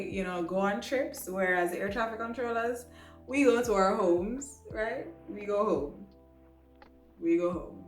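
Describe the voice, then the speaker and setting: A woman presenting a casual explainer vlog in a conversational way, often checking in with the listener. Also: mid-range voice. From Jamaica. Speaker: vlog host, air traffic controller and pilot. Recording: roomy echo, music behind. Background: background music.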